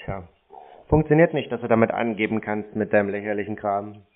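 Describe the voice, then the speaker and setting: A man's voice: lowish voice, fairly quick. A man arguing on a phone call as a scambaiter, in a scornful, lecturing manner. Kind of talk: argumentative phone conversation. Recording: band-limited phone-line sound.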